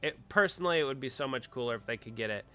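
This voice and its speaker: A man's voice: medium pitch, expressive, racing, bright, forceful tone, clear, resonant tone. A man hosting a casual livestream, congested from a cold, rambling as he chats with viewers. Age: adult with a wife. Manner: chatty, rambling, reads out viewer comments. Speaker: livestream host.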